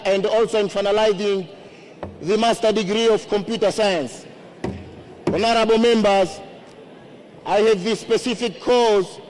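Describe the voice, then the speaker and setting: A man with a Tanzanian accent, a native Swahili speaker, delivering a formal campaign speech in a deliberate, repetitive manner. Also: sits high, poor audio. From Zanzibar, Tanzania. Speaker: member of parliament, candidate.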